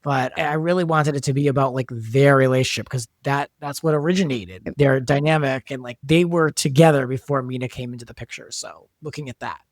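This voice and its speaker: A man's voice: mid-range voice, a little fast, hardly any pauses, dry recording. Man in casual talk, dry close sound. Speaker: podcast guest, audio soap writer and director. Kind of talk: casual discussion of a drama production.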